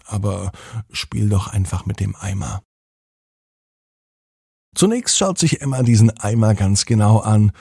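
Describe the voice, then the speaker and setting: A man's voice: lowish voice, creaky, warm tone, slightly rough, no echo, studio quality. A man hosting a children's podcast, narrating a bedtime story expressively and speaking directly to children.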